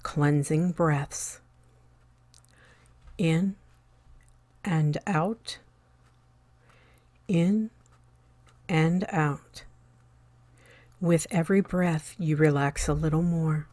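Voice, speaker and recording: A woman narrating a scripted guided meditation in a soothing, measured way, with a soft voice that often fades toward a whisper and long pauses between short phrases. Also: low voice, no echo.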